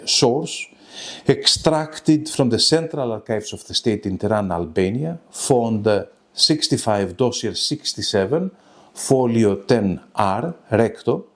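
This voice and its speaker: Male academic presenter, slow delivery, mid-range pitch, halting and formal. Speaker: lecturer presenting a web seminar. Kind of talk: academic lecture.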